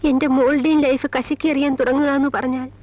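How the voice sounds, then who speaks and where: A woman's voice: high voice, level tone, quick pace, darkish tone, natural voice. A middle-aged actress playing a strict, anxious, wounded mother in a Malayalam film drama, speaking in a firm, emotionally weighted way. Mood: anguished. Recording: dull old film soundtrack with music.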